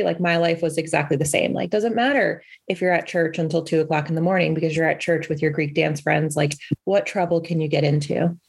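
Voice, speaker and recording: A woman's voice: on the low side, creaky, a little mellow, slightly rough, dry recording. A woman co-hosting a podcast in casual chat, rambling with many fillers and restarts. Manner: rambling, filler-heavy, lots of "like" and "you know". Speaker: podcast co-host.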